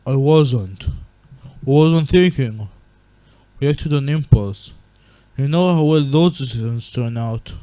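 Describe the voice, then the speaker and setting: A man's voice: medium pitch, takes it slowly, dark tone, no echo, close to an everyday voice. Solemn grieving monster king, acted by a man. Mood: regretful. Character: grieving male monster king, regretful gentle father. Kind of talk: dramatic fan comic dub acting.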